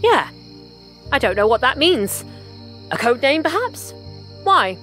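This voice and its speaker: A bright, eager young journalist, as an actress voices her in an audio drama, her voice high and lively as it leaps around. Soft background music plays beneath her lines. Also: slow pace, exaggerated voice. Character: Eleanor Agnew, curious adventurous female journalist.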